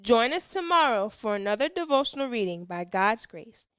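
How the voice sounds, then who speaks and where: High-pitched woman reading a devotional. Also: very animated, normal speed, no room sound, clean studio sound. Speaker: devotional reader and hymn singer.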